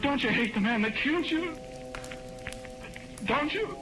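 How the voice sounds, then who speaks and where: An actor playing a desperate condemned prisoner who pleads in agitated, halting outbursts, in the style of 1940s radio drama. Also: male voice, extremely high pitch, animated, rough recording. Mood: bitter desperation. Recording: old 1940s radio recording with music.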